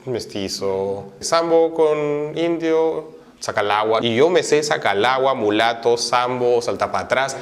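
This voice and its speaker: A man's voice: mid-range voice, slow. A Peruvian man with a Peruvian Spanish accent, explaining in a wry, conversational way. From Peru. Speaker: interviewee. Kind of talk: casual explanatory talk.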